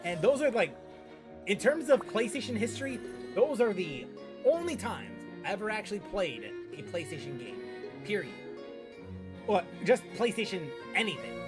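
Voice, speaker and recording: A young man streaming games, chatting casually in a rambling, halting way and repeating phrases for emphasis. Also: high voice, very animated. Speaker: gaming streamer. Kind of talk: casual, rambling gameplay chat. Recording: game music behind.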